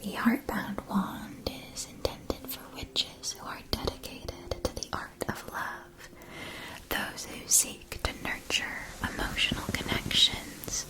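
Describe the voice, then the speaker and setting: Woman acting as a magic wand seller, ASMR roleplay, whispered, very slow, gentle, solemn, soothing, formal. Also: close to an everyday voice, low-quality recording. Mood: solemn, reverent. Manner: slow, deliberate, soothing delivery with formal phrasing.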